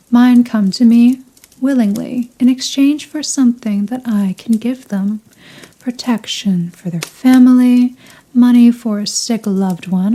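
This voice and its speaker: Slow, velvety-dark vampire woman, acted by a woman. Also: on the high side, rough recording. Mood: coy, calculating. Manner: slow, condescending, seductive, drawn-out phrasing. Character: haughty, teasing, possessive elder vampire woman. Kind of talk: ASMR roleplay, scripted character acting.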